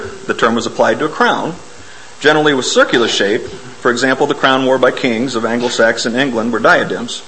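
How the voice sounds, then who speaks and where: A man preaching an informal, self-deprecating sermon in a church, hoarse from a bug and often clearing his throat. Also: medium pitch, fairly bright tone, slight background noise, low-quality recording. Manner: folksy, self-deprecating, explains hymn words. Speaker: lay preacher.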